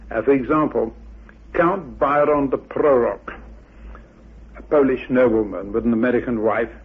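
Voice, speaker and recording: An older man giving a measured, formal explanation in deliberate, scholarly phrases. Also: medium pitch, gravelly, breathy edge. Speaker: expert interviewee. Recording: muffled, phone-like old radio recording.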